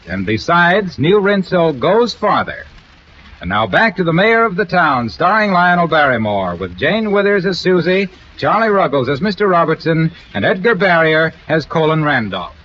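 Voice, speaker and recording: A man's voice: on the high side, fairly bright tone. A man announcing a 1940s radio commercial as a jovial, emphatic salesman. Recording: dull, hissy old radio recording.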